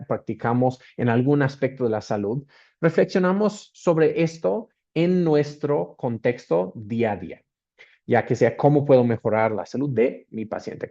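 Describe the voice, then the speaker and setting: A man with an Australian accent presents on a video call, his voice mellow and rather dark. He hesitates often, filling gaps and restarting phrases. The audio comes through dull and muffled. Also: medium pitch. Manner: hesitant, with many fillers and restarts. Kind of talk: webinar presentation.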